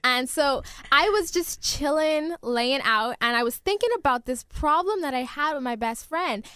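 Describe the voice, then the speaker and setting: A woman's voice: high-pitched, lively intonation, normal speed, sharp tone, dry recording. A fifteen-year-old girl from the Bahamas, chatting as a guest in a radio studio interview in a bubbly, giggly way. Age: teenager, 15. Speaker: guest singer. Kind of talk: casual interview.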